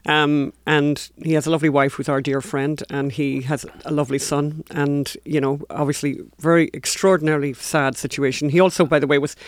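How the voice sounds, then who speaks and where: A man's voice: fairly high pitch, little pitch movement, fairly quick, hardly any pauses, dry recording. A man talking casually as a podcast co-host, chatty and emphatic, often repeating phrases for stress. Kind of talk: casual conversational talk.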